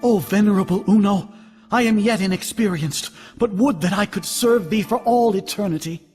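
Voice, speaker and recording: An actor playing an earnest, devout priest with a solemn, archaic manner in a fantasy game. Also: male voice, high-pitched, normal speed, a little mellow, close to an everyday voice. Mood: reverent. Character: earnest, devout male priest, humbly reverent. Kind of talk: dubbed fantasy game acting. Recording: long hall reverb, faint music.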